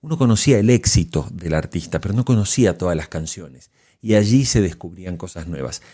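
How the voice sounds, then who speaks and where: A man's voice: low-pitched, animated, fairly quick, warm tone. A man hosting a podcast, delivering prepared commentary to listeners. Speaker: podcast host.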